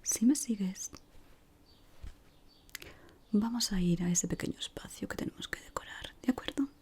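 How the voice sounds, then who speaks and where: A woman from whispers softly and without hurry in an ASMR roleplay, gently giving instructions as a decorator guiding a newcomer. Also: mid-range voice, slightly rough. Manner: soft, unhurried, gently instructing.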